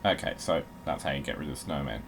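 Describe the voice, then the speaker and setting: A man's voice: a bass voice, quick pace, gravelly, noisy background. A man giving casual, sweary commentary while playing a video game, in a wry, grumbling manner.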